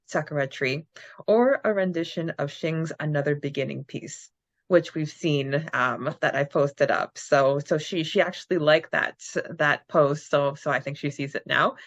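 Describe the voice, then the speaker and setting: A woman co-hosting a casual fan podcast, chatty and quick to laugh as she reads out answers. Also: sits low, no echo, muffled recording. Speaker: podcast co-host.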